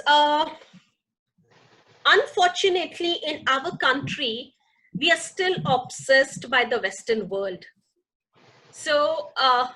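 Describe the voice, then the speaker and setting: A woman's voice: high-pitched, sharp tone, low-quality recording. A middle-aged woman with an Indian English accent, a native Gujarati speaker, answering a parenting question earnestly and with repeated emphasis. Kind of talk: answering audience questions in an online session.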